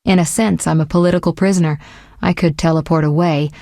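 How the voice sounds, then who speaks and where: A woman's voice: sits low, steady tone, fast, creaky, warm tone, no echo, studio quality. A woman voicing a self-assured, sly sorceress in a video game, speaking in poised, articulate, formal phrases with a teasing edge. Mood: earnest, informative. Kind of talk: scripted video game dialogue.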